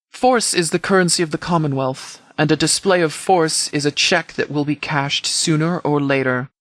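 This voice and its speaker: An actor playing a stern, principled middle-aged sheriff in a video game, speaking in a formal, grave and deliberate way. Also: male voice, fairly high pitch, a bit bright, no room sound, studio quality, close to an everyday voice. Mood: grim, warning. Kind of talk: scripted video game character dialogue.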